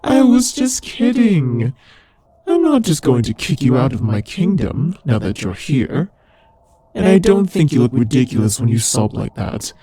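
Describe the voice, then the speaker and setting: A man voicing a haughty, teasing demon lord in an ASMR roleplay, imperious and condescending, with a husky voice. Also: mid-range voice, sing-song, measured pace, dark tone, no echo. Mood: amused, teasing. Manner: imperious, condescending, playfully taunting. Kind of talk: ASMR roleplay audio drama addressed to the listener.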